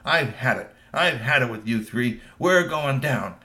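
A man's voice: mid-range voice, animated, measured pace, sharp tone. A man voicing a big, strong man who speaks bluntly in a raised voice. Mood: defiant.

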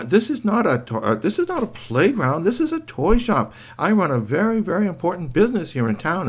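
A man's voice: fairly high pitch, slightly rough, voice pushed up. A man voicing a fussy, proud woman who runs a toy shop, indignant and stammering as she refuses. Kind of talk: storytelling.

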